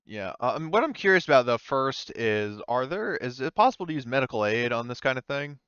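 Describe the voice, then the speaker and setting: Male game master, hesitant rambling narration, clean smooth tone, slightly dull dry voice-chat sound. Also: mid-range voice, very animated, brisk, a bit bright, no echo. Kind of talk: improvised narration and table talk in a tabletop roleplaying game.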